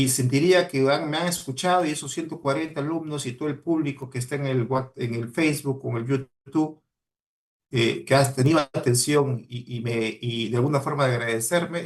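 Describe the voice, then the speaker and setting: A man's voice: mid-range voice, fairly even intonation, normal speed. A man with a Peruvian Spanish accent giving a motivational talk to students, earnest and exhorting, repeating key words for emphasis. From Peru. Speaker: guest speaker, mining professional.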